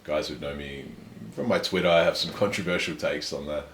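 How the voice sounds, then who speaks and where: A young man telling his life story in a relaxed, self-assured, measured way during a casual conversation. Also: rumbling low, slight background noise. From Australia. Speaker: guest. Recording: echoey room with faint ambience.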